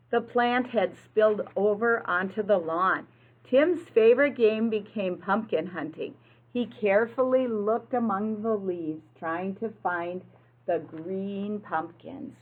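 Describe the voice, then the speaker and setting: Slow-paced woman reading to young children. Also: mid-range voice, narrow, tinny audio. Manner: simple, instructive phrasing with questions to young children. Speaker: early-childhood teacher reading aloud to children. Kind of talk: children's picture-book read-aloud with asides to young listeners.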